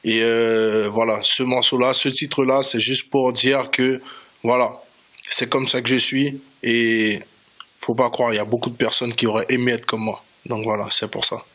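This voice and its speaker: A man's voice: mid-range voice, little pitch movement, moderate pace, sharp tone. A man from French Guiana, a reggae dancehall artist, talking casually as a guest in a radio studio interview.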